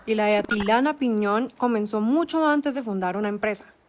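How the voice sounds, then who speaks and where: A woman narrating a documentary script in a measured, dramatic storytelling cadence. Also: fairly high pitch, slight background noise.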